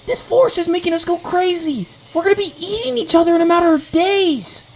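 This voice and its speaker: Woman as high-voiced alarmist little creature. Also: brisk, darkish tone, a little hiss or hum. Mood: alarmed. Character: jittery, melodramatic, alarmist little forest creature.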